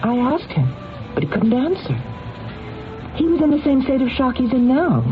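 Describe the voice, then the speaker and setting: Two-faced businesswoman in an old radio play, theatrical and halting, muffled audio w music under. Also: high-pitched, big pitch swings. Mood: reluctant, distressed. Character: scheming businesswoman murderer feigning reluctant, pitying innocence. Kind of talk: old-time radio drama acting.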